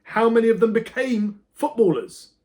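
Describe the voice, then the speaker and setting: A man voicing an enraged, balding male schoolteacher from a children's story, shouting scornful questions at a pupil. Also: very high-pitched, animated, normal speed, voice pushed up. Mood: scornful rage. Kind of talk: children's audiobook character voice.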